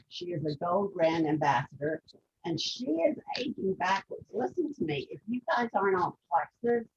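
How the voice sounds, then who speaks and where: A woman's voice: mid-range voice, dull audio, background noise. An older woman with a Texas accent, chatting enthusiastically and introducing a guest.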